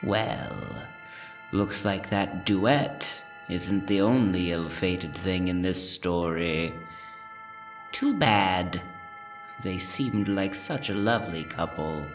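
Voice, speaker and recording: A horror series host giving a scripted outro with wry, mock-sympathetic, drawn-out delivery. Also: low-pitched, animated, distant mic, music in the background.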